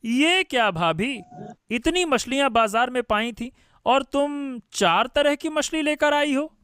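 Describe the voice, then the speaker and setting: A woman voicing a sneering, slow-talking sister-in-law. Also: high voice, animated, fairly bright tone, clean tone, no echo. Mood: mocking. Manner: mocking, sarcastic. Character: sneering young sister-in-law plotting against the new bride. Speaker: voice actor in an animated story.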